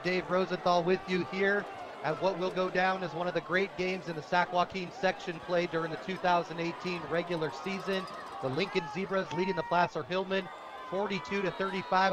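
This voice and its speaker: A male radio announcer with a high voice delivers live football play-by-play. He is energetic and excitable, working outdoors at a stadium with a loud crowd and clear background noise around him. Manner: energetic, rises to shouts on big plays.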